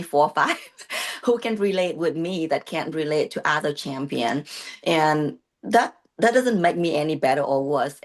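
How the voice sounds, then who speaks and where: A woman in her thirties speaks English with a Vietnamese accent, her voice sitting low for a woman. She talks earnestly and hesitantly, circling back with fillers and restarts as she opens up. It sounds like a video call interview. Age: 30s. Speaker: guest, bodybuilding competitor and coach. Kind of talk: casual interview talk.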